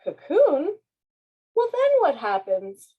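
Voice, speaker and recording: A woman's voice: sits high, huge pitch swings, slow pace, phone-quality sound, full character voice. A woman voicing an anxious, hesitant little fluffy cloud in a children's storybook. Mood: puzzled, curious. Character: anxious, upset little fluffy cloud who fears change.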